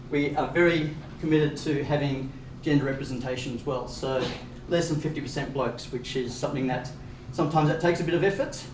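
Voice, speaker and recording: A man hosting a meetup, giving upbeat announcements with many fillers. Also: medium pitch. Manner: upbeat, many fillers, quick asides with chuckles. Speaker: meetup organiser and host. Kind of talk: event welcome and announcements. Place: event space. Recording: echoey room from far off with faint noise.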